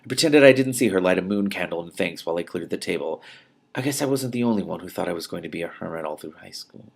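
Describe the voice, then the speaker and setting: A man with a fairly low voice and a strong fry reads fiction aloud. His delivery is expressive and emphatic, sometimes falling to a hush, with the informal feel of a host sharing his reactions. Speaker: host reading a novel aloud with commentary. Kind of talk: expressive audiobook-style reading with spontaneous reactions.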